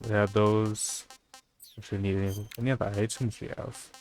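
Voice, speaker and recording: A man's voice: on the low side, flat delivery, moderate pace, darkish tone, soft background music. A man doing live video game commentary as a streamer, casually muttering his thoughts aloud as he plays.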